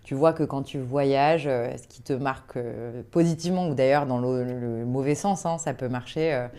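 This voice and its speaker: A woman's voice: rumbling low, normal speed, mellow, smooth. A woman hosting an interview podcast in a casual, informal and encouraging conversational way.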